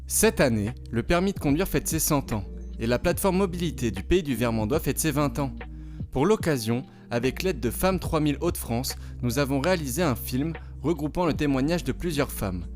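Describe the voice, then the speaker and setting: A man reading a scripted, informative narration in an even manner. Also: mid-range voice, normal speed, faint music behind.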